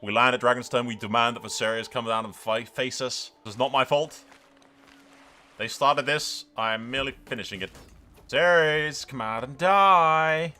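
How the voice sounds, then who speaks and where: A man's voice: medium pitch, moderate pace, sharp tone, lightly acted. A man roleplaying a proud, wrathful warrior prince who rides a dragon, declaiming defiantly in a fantasy game. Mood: furious challenge.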